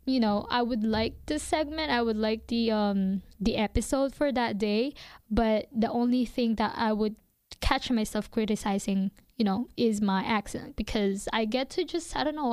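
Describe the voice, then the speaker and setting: A woman's voice: on the high side, darkish tone, clear, resonant tone, no echo, clean studio sound. Hesitant young woman with a foreign accent. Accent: non-native English accent. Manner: hesitant, many fillers and restarts. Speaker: radio show host. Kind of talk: reflective casual monologue.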